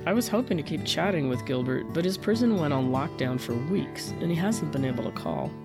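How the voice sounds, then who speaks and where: A radio host reads calm, friendly scripted introductions. Guitar music plays clearly behind the voice. The delivery stays measured and even. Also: mid-range voice. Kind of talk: scripted narration.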